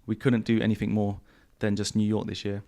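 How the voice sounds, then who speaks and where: Man, croaky, lowish voice, casual podcast host, repeats words. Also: a little fast. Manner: relaxed, repeats himself, slangy. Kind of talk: casual podcast chat answering viewer questions. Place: home studio.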